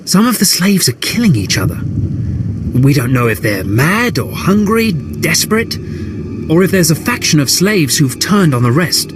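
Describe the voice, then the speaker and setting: A man's voice: fairly high pitch, very animated, normal speed, music in the background. A man voicing a disdainful imperial officer in a video game, deferential as he briefs his lord. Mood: contemptuous, concerned. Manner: contemptuous, briefing a superior.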